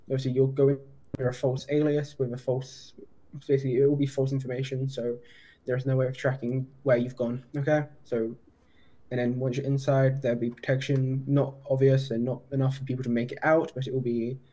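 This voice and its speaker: Man with a mellow, even-toned voice. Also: medium pitch, brisk, rough recording. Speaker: roleplayer playing a police detective. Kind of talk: improvised police interview roleplay.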